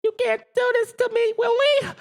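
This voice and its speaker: A man's voice: extremely high pitch, steady tone, moderate pace, vocal fry, pitched above the performer's own voice. A man voicing a whiny, indignant little alien creature in a theatrical, grumbling way for a children's storybook. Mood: pleading indignant. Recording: long hall-like reverb.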